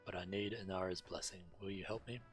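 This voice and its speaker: A man streaming a video game, reading quest text aloud in a theatrical, deliberate way. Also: sits low, steady tone. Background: faint game music.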